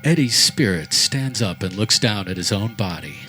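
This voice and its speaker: A man's voice: fairly low pitch, expressive, normal speed, pushed and bright, soft background music. A man reading scripted narration for a radio play.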